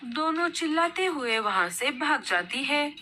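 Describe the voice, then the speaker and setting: A woman's voice: sits high, animated, a little slow, bright, forceful tone, slight background noise. A woman reading scripted narration as the storyteller of a children's tale.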